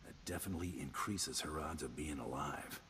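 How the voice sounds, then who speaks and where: An actor playing a cool, steady partner in crime in a video game, speaking in short, deadpan replies. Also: male voice, extremely low pitch, fairly quick, noisy background. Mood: dry, supportive. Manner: terse, deadpan. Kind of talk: video game character dialogue.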